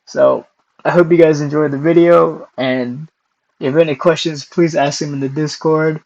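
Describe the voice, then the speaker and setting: A young man hosting a casual screen-recorded software tutorial, hoarse and losing his voice, clearing his throat often between steps. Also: on the high side, fairly even intonation, moderate pace, lo-fi sound. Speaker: tutorial host.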